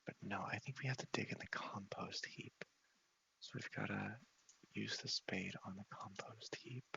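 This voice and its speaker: A man giving soft, half-whispered ASMR commentary on a game playthrough, murmuring to himself and reading text aloud in an unhurried way. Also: fairly low pitch, fairly even intonation, vocal fry, muffled recording. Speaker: game streamer, video host.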